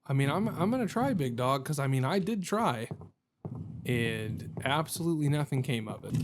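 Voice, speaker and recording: A man hosting a gaming video with casual let's-play commentary, thinking aloud in a wry, jokey way. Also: a little high. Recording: game ambience and noise behind voice.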